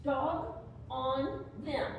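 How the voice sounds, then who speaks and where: A woman giving a slow, deliberate dramatic reading as a careworn, plainspoken Civil War soldier's wife writing letters. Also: high voice, fairly even intonation, vocal fry, phone-quality sound, heavily acted. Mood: indignant, weary. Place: echoey room at a live presentation. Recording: echoey hall, distant mic, faint noise.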